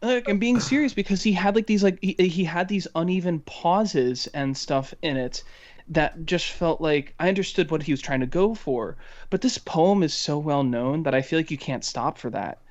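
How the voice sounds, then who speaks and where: A man co-hosting a podcast, giving casual criticism in a halting, analytical way. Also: fairly high pitch, highs cut off.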